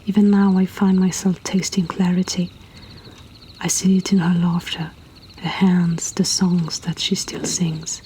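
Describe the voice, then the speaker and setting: A woman softly whispering a poetry reading as an intimate storyteller host, breathy and deliberate with long pauses. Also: lowish voice, flat delivery, a little hiss or hum.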